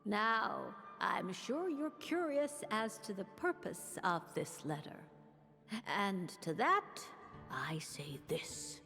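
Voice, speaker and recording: A woman playing a sly, theatrical elderly master reading a letter in a game, slow and dramatic, with a creaky voice. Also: medium pitch, sing-song, pushed and bright, exaggerated voice. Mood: mysterious, commanding. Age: older. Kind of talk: letter read aloud in game voice acting. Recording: long reverb over music.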